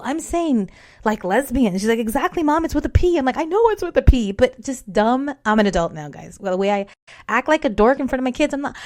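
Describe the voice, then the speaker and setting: A woman's voice: mid-range voice, a little mellow. A woman co-hosting a casual chat, joking about herself and acting out little quotes. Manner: self-mocking, laughs at herself, playful quotes. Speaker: podcast co-host.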